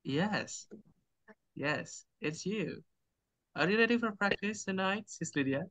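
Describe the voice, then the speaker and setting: An Indonesian-accented man leads an online English speaking practice over a video call. His voice is bright and forceful, and a little higher than most men's. He is upbeat and encouraging, and the sound is close and dry. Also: animated, takes it slowly, dry recording. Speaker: English conversation tutor.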